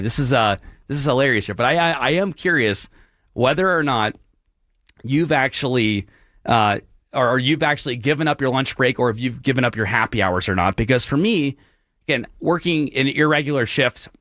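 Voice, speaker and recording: A hoarse young man hosting a late-night talk radio show, apologizing and clearing his throat as his voice gives out. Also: mid-range voice, a bit bright, no room sound, clean studio sound. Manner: apologetic, clears throat often, many restarts. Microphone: studio broadcast mic.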